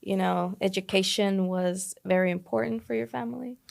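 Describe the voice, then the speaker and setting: A woman's voice: mid-range voice, steady tone, normal speed, creaky. A woman interviewing someone casually, in a conversational style. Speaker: podcast interviewer.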